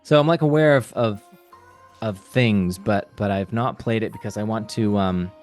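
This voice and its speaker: Low-voiced man thinking aloud in conversation. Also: normal speed, darkish tone, faint music behind. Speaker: interview guest, voice actor. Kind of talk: casual interview chat.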